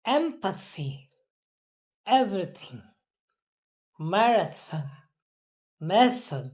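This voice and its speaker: A woman's voice: mid-range voice, sing-song, extremely slow, darkish tone. A woman carefully repeating words and reading practice sentences as a pronunciation student. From Philippines. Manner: careful, word-by-word repetition.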